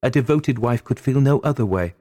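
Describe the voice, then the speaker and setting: Man acting a gallant, emphatic gentleman physician. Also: medium pitch, animated, a little fast, pitched above the performer's own voice, lightly acted. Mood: courteous, earnest. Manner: courtly, emphatic period diction. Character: gallant earnest gentleman physician, tender and indignant. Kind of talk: dramatic audiobook character voice.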